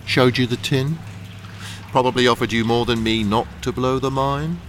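A man playing a cold criminal boss in a radio thriller, commanding and smoothly threatening. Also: mid-range voice, fairly even intonation, moderate pace, a little hiss or hum, lightly acted. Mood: menacing. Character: cold, menacing male criminal boss running a mine.

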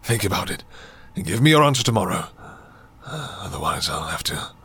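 Man acting a husky, obsessive old mine owner. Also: sits low, big pitch swings, normal speed, bright, forceful tone, exaggerated voice. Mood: urgent, pleading. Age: older. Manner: insistent, repeating himself in agitation. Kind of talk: radio drama acting.

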